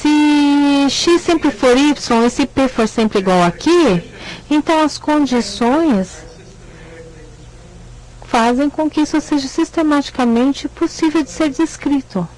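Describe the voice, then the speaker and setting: An interpreter relaying an academic lecture, pausing and correcting the wording as the speech goes on. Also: high-pitched, darkish tone, rough recording. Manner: hesitant, self-correcting. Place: lecture hall.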